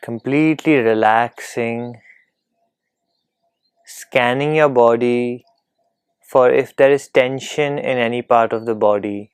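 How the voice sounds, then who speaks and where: Very slow, calm male meditation guide. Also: medium pitch, smooth, dry recording, studio quality. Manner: calm, gentle, unhurried instruction with long pauses.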